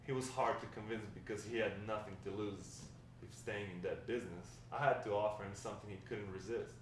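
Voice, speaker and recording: A man's voice: on the low side, steady tone, brisk, natural voice. A man reading the part of a wry old grandfather telling stories of a drug-dealing past at a script table read, with some stumbles. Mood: reminiscing. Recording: distant, very echoey room, faint noise.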